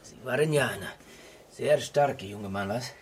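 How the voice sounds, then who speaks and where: An actor playing a patient, polite sanatorium attendant who coaxes solicitously in a radio drama. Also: male voice, medium pitch, normal speed, slight background noise, close to an everyday voice. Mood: coaxing.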